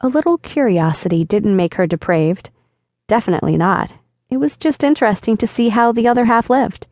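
A woman audiobook narrator reading a novel in a steady, intimate storytelling voice. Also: on the high side, fairly quick, no room sound, clean studio sound. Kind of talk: scripted narration.

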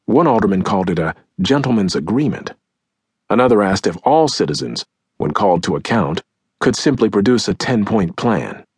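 A man narrating a nonfiction audiobook in a measured, deliberate, dramatic way, with a husky voice, recorded close in a dry studio. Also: lowish voice, very animated, darkish tone, no room sound. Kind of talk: scripted nonfiction audiobook narration, acting out quotes.

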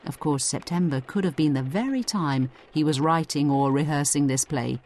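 A woman's voice: low voice, normal speed, darkish tone, slight background noise. A woman narrating a scripted educational audio guide, explaining things conversationally as she addresses the listener directly.

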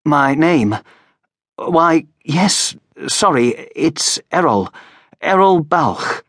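Man acting wounded young male traveller, hesitant, courteous, wary, higher-pitched, husky, audiobook character. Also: expressive, drawn-out words, fairly bright tone, no room sound, clean studio sound, exaggerated voice. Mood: hesitant, evasive. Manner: hesitant, polite.

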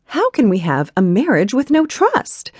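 A woman voicing a proud, defiant young noblewoman warrior bride who talks haughtily and turns shrill when she shouts. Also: wildly swooping pitch, moderate pace, slightly rough, no echo, full character voice. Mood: indignant.

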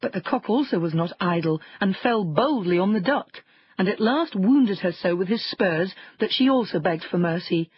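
A woman narrating a children's fairy tale audiobook in an expressive storytelling way. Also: medium pitch, normal speed, breathy edge, no room sound, muffled recording.

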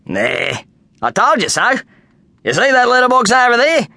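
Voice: medium pitch, sing-song, moderate pace, creaky, pushed and bright, no room sound, voice pushed up, heavily acted. A storybook reader voicing a friendly, ragged Australian workman who moves houses, cheerful and matter-of-fact. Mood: confident. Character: friendly ragged grown man, house-moving worker.

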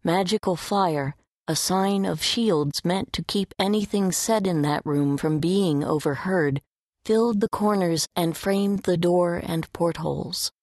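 A woman's voice: fairly low pitch, measured pace, a little mellow, dry recording, studio quality. A woman reading scripted story narration for an audiobook.

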